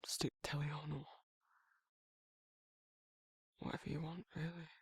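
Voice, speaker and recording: A man playing a protective, awkward but tender werewolf in an intimate ASMR roleplay, speaking in a hesitant, husky British murmur. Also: on the high side, flat delivery, no echo, clean studio sound. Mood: hesitant, caring. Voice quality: husky, gravelly, breathy. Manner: halting, hesitant, self-correcting, softly murmured.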